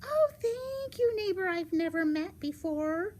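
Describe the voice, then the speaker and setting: A woman's voice: extremely high pitch, animated, a little slow, darkish tone, a little hiss or hum, pitched above the performer's own voice. A woman voicing an innocent, cheerful storybook little girl with a singsong, wide-eyed way of talking. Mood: polite, sweet.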